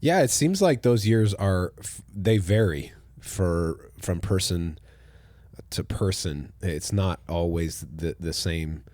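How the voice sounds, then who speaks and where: A man with a deep, low voice, hosting a relaxed church podcast as its pastor. He talks in an easygoing, reflective way and lets his sentences fade off quietly. The sound is close and dry, with no room around it. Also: slow, dry recording. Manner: relaxed, thoughtful, trails off softly at the ends of phrases. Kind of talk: casual conversational podcast.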